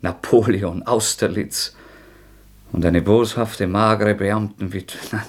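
A feverish young killer, gravelly and husky, bitter and scornful. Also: male voice, fairly low pitch, fairly even intonation, slow pace. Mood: anguished, feverish. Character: tormented young male murderer, feverish, bitter.

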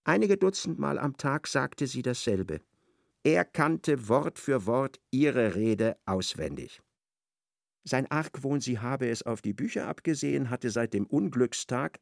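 A man narrating a literary radio play in measured, expressive storytelling. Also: medium pitch, creaky, dry recording, studio quality.

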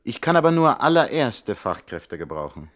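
An actor playing a cocky, charming master pickpocket in a radio play, casual, amused and self-assured. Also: male voice, medium pitch, sing-song, moderate pace, fairly bright tone. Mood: wry. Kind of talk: 1960s German radio play acting.

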